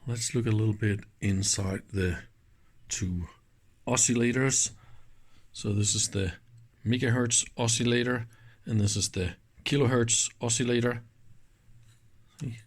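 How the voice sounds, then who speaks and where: A man's voice: medium pitch, fairly even intonation, a little slow, pauses often, husky. A man gives a casual, hands-on technical demonstration in an informal, enthusiastic manner. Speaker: host of an electronics teardown channel.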